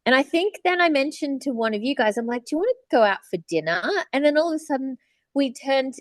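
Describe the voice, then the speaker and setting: A woman's voice: high voice, expressive, fast, dry recording. Woman chatting, close dry sound. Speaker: podcast co-host; voice actor. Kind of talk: casual chat.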